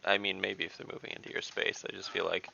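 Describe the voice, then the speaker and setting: Gravelly, low-voiced male player. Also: level tone, fast, sharp tone, muffled recording. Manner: thinking aloud, reasoning through options. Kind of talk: casual online tabletop chat.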